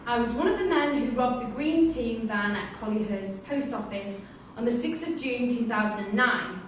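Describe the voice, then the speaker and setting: A woman's voice: on the high side, moderate pace, close to an everyday voice. A woman playing a firm, sceptical prison course tutor in a staged play reading. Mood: reading aloud. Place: theatre room at a staged reading. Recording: echoey hall, distant mic, hissy ambience.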